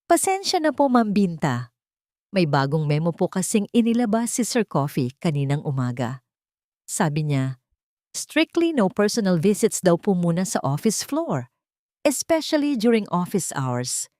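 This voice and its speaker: A woman's voice: fairly low pitch, sing-song, unhurried, darkish tone, no room sound. A woman reads the part of a deferential security guard in an audio drama. The guard is hesitant and apologetic, speaking polite Taglish in a dry recording.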